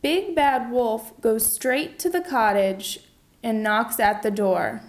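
Slow woman narrator for learners. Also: fairly high pitch, slight background noise. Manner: slow, deliberate storytelling.

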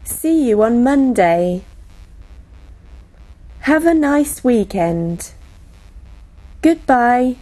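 A woman slowly reading out classroom phrases for teachers, each phrase spoken separately. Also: fairly high pitch, sing-song, mellow, poor audio. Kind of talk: slow, clearly spoken classroom English read aloud.

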